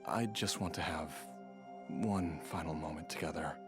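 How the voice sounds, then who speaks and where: A man playing a dying, devoted husband and father in a video game, resigned and grateful, speaking earnestly with halting sighs. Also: a bass voice. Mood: weary, pleading. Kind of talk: dramatic video game voice acting. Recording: music playing behind the voice.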